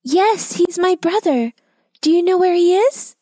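A woman's voice: high voice, sing-song, normal speed, no echo, voice pushed up. A woman voicing an earnest, anxious young girl in a children's story. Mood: worried, pleading.

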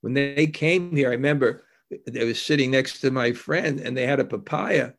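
A man giving an anecdotal spiritual discourse as a devotional teacher, mixing storytelling with scripture reading in a reflective, halting, conversational manner. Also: mid-range voice, creaky.

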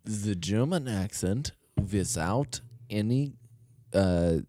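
A man chatting on a comedy podcast, riffing loosely and laughing between jokes. His pitch swings in a lively, animated way as he talks. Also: slow pace, a little hiss or hum. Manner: joking, laughs often, does goofy voices. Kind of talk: casual comedic chat.

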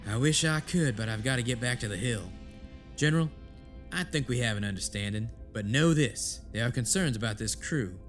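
An actor playing a skeptical, impatient senator with a blunt manner in an audio drama. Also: male voice, medium pitch, natural voice.

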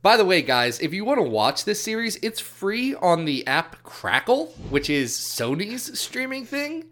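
Midwest guy cohosting a podcast, bright tense voice, dry teasing humor. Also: sits high, big pitch swings, unhurried. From American Midwest. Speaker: podcast co-host. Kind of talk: casual chat.

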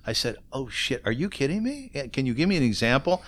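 A man's voice: medium pitch, big pitch swings, fast. A man hosting a podcast interview, asking questions in a casual, blunt, probing way.

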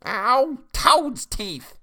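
A man voicing a brash, petulant old female witch with a Boston accent, shrill and scolding. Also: very animated, drawn-out words, sharp tone, exaggerated voice.

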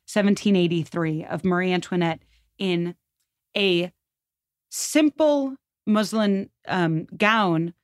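A woman with a slightly low voice speaks as a podcast guest, explaining history in an informal, conversational way. She is enthusiastic and opinionated, and the sound is dry, with very little room in it. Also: slow, no echo.

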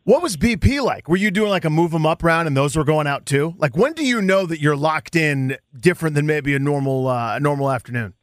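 A man's voice: on the high side, animated, a little fast, hardly any pauses, no echo, clean studio sound. A man co-hosting a sports radio show, asking questions in a casual, chatty interview style.

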